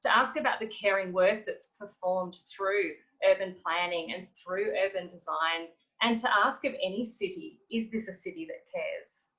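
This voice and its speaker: A woman reads a prepared academic talk over a video call, measured and earnest. The audio sounds dull and lo-fi. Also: mid-range voice, sharp tone. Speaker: panel speaker, researcher.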